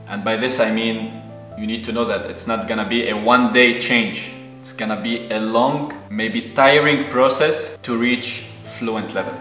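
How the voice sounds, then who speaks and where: A man's voice: on the low side, fairly bright tone, reverberant. A man in his thirties from Lebanon, a native Arabic speaker, giving thoughtful interview answers with many hesitations. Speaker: guest. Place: indoor room on a sofa.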